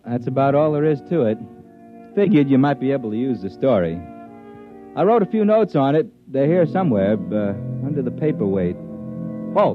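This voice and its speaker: A man narrating his own story in a folksy, rambling way for a 1950s radio drama. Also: medium pitch. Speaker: narrator playing himself. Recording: dull old radio broadcast with music.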